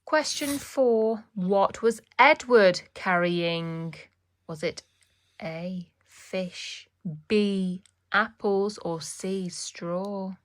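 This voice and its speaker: A woman's voice: medium pitch, extremely slow, no echo. A woman reading a children's story aloud as narrator and quiz host.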